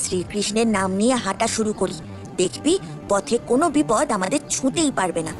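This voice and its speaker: A woman's voice: mid-range voice, animated, brisk, lightly acted. A woman voicing a plucky, loyal village child in a cartoon, with a bold and confident manner, recorded with music underneath. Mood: determined. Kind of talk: Bengali village cartoon dialogue.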